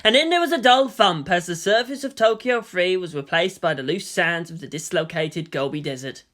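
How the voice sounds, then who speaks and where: A man's voice: high-pitched, expressive, normal speed, fairly bright tone. A man giving a dramatic scripted narration of a fan fiction story.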